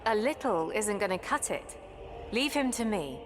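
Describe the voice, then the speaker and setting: A woman's voice: medium pitch, sing-song, normal speed, noisy background, lightly acted. A woman playing a fierce, ruthless warrior regent in a video game, with a hard, commanding delivery. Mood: impatient. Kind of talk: scripted video game dialogue.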